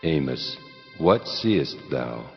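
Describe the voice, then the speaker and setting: A man's voice: extremely low pitch, big pitch swings, drawn-out words, heavily acted. A man voicing God as a stern, wrathful ancient deity in a dramatized scripture reading, solemn and commanding. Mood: solemn questioning. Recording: long cavernous reverb, dull sound, music underneath. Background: clear music.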